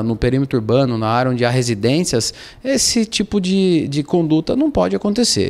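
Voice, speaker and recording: A man in his forties with a Brazilian accent, answering an interview as an official, formal and halting, indoors into a handheld microphone. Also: medium pitch, darkish tone. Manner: formal, halting with hesitant pauses. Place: indoors against a plain wall. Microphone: handheld interview microphone held to him.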